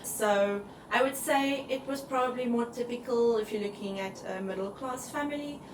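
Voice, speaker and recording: A South African woman chatting casually and telling personal stories in a giggly, animated way. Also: a little high, normal speed, background noise. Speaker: co-host. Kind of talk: casual chat, personal storytelling. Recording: roomy, distant mic, music behind.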